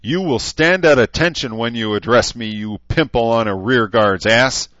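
A man voicing a gruff, hot-tempered veteran drill sergeant who growls, barks and swears. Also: deep voice, expressive, brisk, fairly bright tone, dry recording, lo-fi sound. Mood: furious shouting. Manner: barking, growling, profane.

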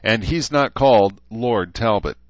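A man voicing a brisk, no-nonsense woman clerk who speaks curtly and in a businesslike way. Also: on the low side, no room sound, muffled recording, pitched above the performer's own voice, natural voice. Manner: curt, businesslike.

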